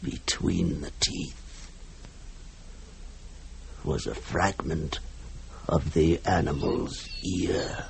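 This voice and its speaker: An actor playing a haunted, guilt-ridden man recounting horror in a halting, dramatic radio-play manner. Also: rumbling low, creaky, full character voice. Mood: grim, hushed. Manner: halting, dramatic, broken phrases. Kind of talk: old-time radio horror drama acting. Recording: noisy vintage radio drama recording.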